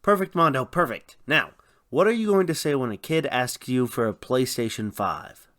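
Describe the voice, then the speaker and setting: A man's voice: mid-range voice, lively intonation, moderate pace, sharp tone. A man co-hosting a radio show with scripted comic banter.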